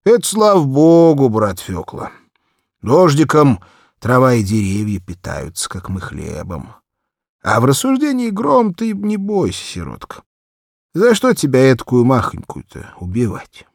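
A male actor plays a kindly, gruff village cobbler for an audiobook. He mutters folksy reassurance, with the pitch lifting well above a narrator's and swinging widely. The delivery is unhurried and close. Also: huge pitch swings, no echo, full character voice. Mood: soothing.

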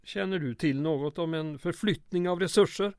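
Guy doing a nervous wordy mayor, pretty high voice, evasive and formal, old radio play. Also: a little mellow, dry recording, clean studio sound, close to an everyday voice. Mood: suspicious, exasperated. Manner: wordy, formal, stammering when flustered. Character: flustered, scheming small-town mayor, wordy and evasive. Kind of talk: old-time radio comedy drama acting.

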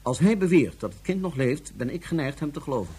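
An actor playing a composed, calculating ruler on Mars who speaks formally and deliberately, with small hesitations, in a radio drama. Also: male voice, fairly high pitch, sing-song, dark tone, husky, exaggerated voice. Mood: thoughtful, commanding. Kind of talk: Dutch science fiction radio drama acting.

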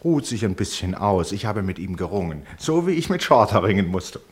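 A man playing a shrewd, teasing gentleman sleuth in a German radio play, ironic and commanding with mock courtesy. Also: fairly low pitch, big pitch swings, fairly quick. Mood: triumphant.

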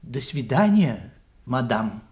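An actor playing an earnest, polite visitor in a radio play, with a rough, husky voice. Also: male voice, mid-range voice, big pitch swings, takes it slowly, darkish tone, heavily acted. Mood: courteous. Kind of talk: theatrical radio play acting.

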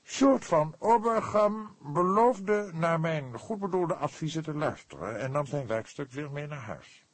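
A man's voice: a little high, animated, unhurried, dry recording, pitched above the performer's own voice. A man voicing a pompous, precise, easily indignant book publisher in an audiobook, speaking in an emphatic, formal, affected way. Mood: self-important.

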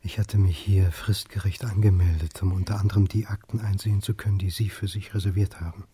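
A man's voice: sits low, steady tone, brisk, mellow, slight background noise, lightly acted. An actor playing a polite but insistent history lecturer in his forties in a radio drama. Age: 40s. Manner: polite, insistent, slightly annoyed. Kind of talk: German radio drama acting.

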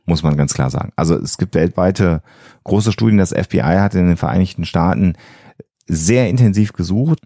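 A man's voice: sits low, normal speed, gravelly, mellow, dry recording, studio quality. A man, co-hosting a podcast and explaining things in an earnest, emphatic way. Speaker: podcast co-host.

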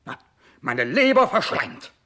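A man's voice: high voice, very animated, unhurried, full character voice. An actor playing an imperious, petulant, ailing king in denial, pompous and capricious, in a 1960s radio play. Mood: dismissive, petulant. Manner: capricious, pompous, swinging from shouts to mutters.

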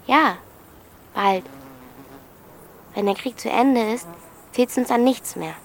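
A woman's voice: a little high, slow pace, slight background noise. A woman playing a blunt, bossy thirteen-year-old girl in a naturalistic radio drama. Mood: reassuring. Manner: blunt, colloquial, questioning.

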